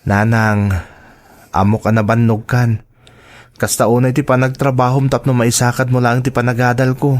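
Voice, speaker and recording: A man's voice: mid-range voice, little pitch movement, moderate pace, natural voice. A man acting as a caring student son in an Ilocano radio drama, speaking tenderly and respectfully with an Ilocano accent. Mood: earnest, tender. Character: devoted, caring teenage or young-adult student son.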